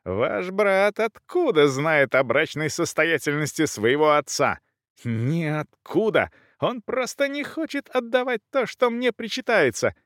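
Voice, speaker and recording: Man acting out a shrill, furious young countess. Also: expressive, measured pace, dry recording, studio quality. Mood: venomous fury. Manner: indignant, shouting, emphatic. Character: young furious countess, haughty, shrill-tempered, scandal-making.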